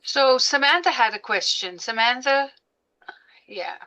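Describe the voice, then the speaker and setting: A woman's voice: high voice, expressive, unhurried, bright, forceful tone, rough recording. A woman hosting a podcast, moderating a group video call and prompting guests for questions. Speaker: podcast host.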